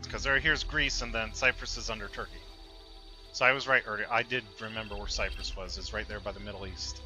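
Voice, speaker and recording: A man's voice: fairly low pitch, steady tone, brisk, low-quality recording. A man giving casual, rambling gameplay commentary, thinking aloud as he goes. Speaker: game commentator. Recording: loud game music behind the voice. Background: game soundtrack.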